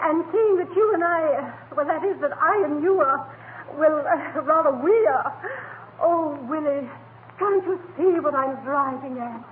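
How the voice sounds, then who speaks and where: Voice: high-pitched, moderate pace, close to an everyday voice. A performer playing a gushing, coy and snobbish society woman with a fluttery, hesitant manner in a 1940s radio comedy. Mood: coy, flustered. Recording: tinny, noisy 1940 radio recording.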